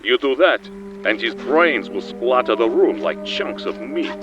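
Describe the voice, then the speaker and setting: An actor playing a pompous, theatrical Soviet assassin with a husky voice and Russian accent in a radio play. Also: male voice, medium pitch, expressive, close to an everyday voice. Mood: smug, menacing. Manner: pompous, deliberate. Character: smug, theatrical Soviet assassin who fancies himself an artist. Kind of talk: comic spy radio play. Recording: muffled recording with music behind.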